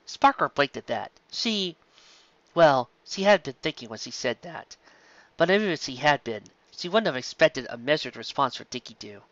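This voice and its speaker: Story narrator reading fanfic, animated n bright, dry slightly muffled mic. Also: mid-range voice, normal speed, no echo. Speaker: fan fiction narrator. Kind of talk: scripted story narration with acted character voices.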